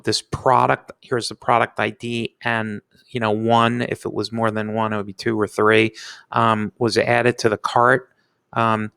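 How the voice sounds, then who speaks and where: A man giving a casual screencast software review in an informal, halting but enthusiastic way. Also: lowish voice, gravelly, clear, resonant tone, dry recording. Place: indoors, screen-recording voice-over.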